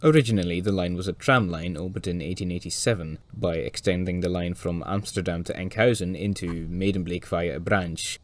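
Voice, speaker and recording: Hoarse male narrator, deep-pitched, for a dry-witted documentary voice-over. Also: brisk, hardly any pauses, no room sound. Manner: dry, wry asides. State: hoarse from a cold. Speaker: railway video presenter.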